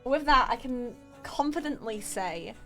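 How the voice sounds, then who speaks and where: Young Polish-native woman streamer, slow laughing anecdote, faint music behind. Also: fairly high pitch, lively intonation, bright, forceful tone. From Poland. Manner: giggly, self-mocking, chatty. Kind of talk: casual storytelling to chat.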